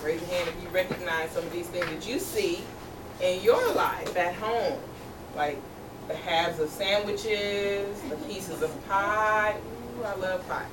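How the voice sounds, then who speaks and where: A woman's voice: fairly low pitch, a little slow. A woman teacher reading a picture book to young children, encouragingly asking questions and repeating their answers back. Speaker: teacher reading aloud to second graders. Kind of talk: interactive storybook read-aloud with questions. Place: room with a group of children. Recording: distant, echoey, noisy room recording.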